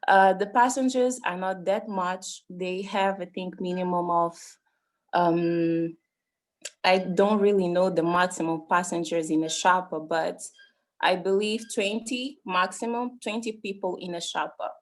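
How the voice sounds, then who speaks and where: A woman's voice: on the low side, fairly even intonation, measured pace. A woman from Mozambique giving an impromptu speech on a video call, hesitant and searching for words. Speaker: meeting participant.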